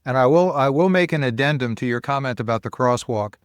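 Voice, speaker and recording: A man's voice: mid-range voice, fairly quick, clear, resonant tone, no echo, clean studio sound. An American man hosting a podcast interview, responding thoughtfully and building on his guest's points. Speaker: podcast host. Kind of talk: casual interview chat.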